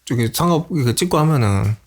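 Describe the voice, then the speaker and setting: A mid-pitched man talks quickly as an interview guest, earnest and modest about his own work. He keeps an easy, self-deprecating tone throughout. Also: lively intonation. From Chungcheong region, Korea. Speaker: film director, guest. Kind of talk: casual interview conversation.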